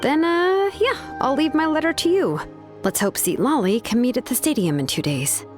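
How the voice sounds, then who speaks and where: A woman's voice: high voice, expressive, moderate pace, soft background music. A woman playing a frank, easygoing young tribeswoman in a fantasy video game, talking casually and conversationally. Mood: casual, musing.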